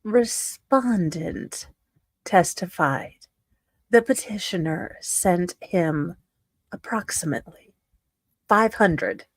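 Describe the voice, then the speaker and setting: A woman reading a court ruling aloud as comedy, haltingly, with exasperated asides. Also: lowish voice, expressive, no room sound. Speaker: reader in a comedy livestream.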